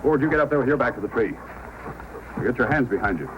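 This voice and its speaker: Commanding Mountie sergeant, played by a man, swinging pitch. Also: expressive, quick pace, dull audio, noisy background, natural voice. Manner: firm, authoritative, declamatory. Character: brave, dutiful Mountie sergeant, commanding and upright. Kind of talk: old-time radio adventure acting. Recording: old radio recording with music.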